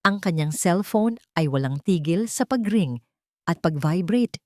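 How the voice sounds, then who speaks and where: Female audiobook narrator, lower register, dramatic storytelling, dry recording. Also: a little mellow, no echo.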